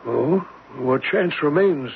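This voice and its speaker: An actor playing a cautious professor in an old radio drama, articulate and questioning. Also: male voice, on the high side, animated, takes it slowly. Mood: concerned, questioning. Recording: muffled, hissy old radio recording.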